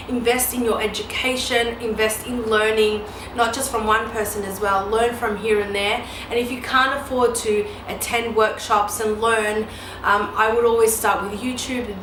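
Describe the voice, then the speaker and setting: A woman in her mid-thirties, a photographer answering viewer questions in a casual, chatty way with many ums and you-knows. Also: on the high side. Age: 30s. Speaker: newborn photographer and educator. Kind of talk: casual Q&A vlog. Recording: echoey room with steady background noise.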